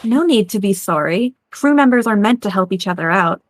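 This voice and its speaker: A woman playing a kind, knowledgeable crewmate in a drama, explaining things in a gentle manner. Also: mid-range voice, lively intonation, a little fast, a little hiss or hum, lightly acted. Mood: caring, explaining. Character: kind, knowledgeable young female crewmate with mystical learning. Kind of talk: dramatic game-script acting.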